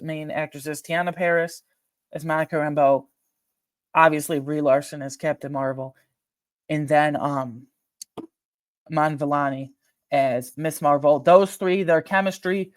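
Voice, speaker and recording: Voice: mid-range voice, no room sound. A person giving casual, opinionated film commentary as a host, speaking informally and repeating phrases for emphasis. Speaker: host ranking films.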